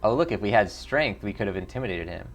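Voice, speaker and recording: A man's voice: fairly low pitch, animated, fast, soft background music. A man streaming a video game, switching between casual commentary and reading the narration aloud.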